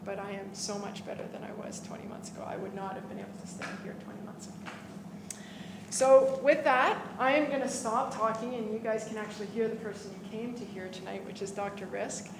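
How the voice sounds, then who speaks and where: Earnest woman speaking in an echoey hall. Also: medium pitch. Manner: earnest, halting, with restarts. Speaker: event speaker introducing the presenter. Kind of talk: personal testimony to a live audience. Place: indoor event hall. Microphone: stand microphone. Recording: echoey room with background noise.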